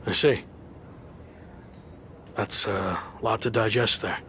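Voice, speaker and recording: A man's voice: on the low side, slow, pushed and bright, a little hiss or hum. A man playing an earnest underdog pro wrestler, a former big-league talent, in a scripted video game cutscene. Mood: wry.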